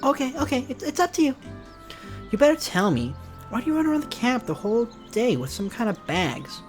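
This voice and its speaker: A man's voice: very high-pitched, very animated, fairly quick, voice pushed up. A man voicing a sly, teasing teenage girl from a visual novel being read aloud. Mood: teasing, curious. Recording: music behind.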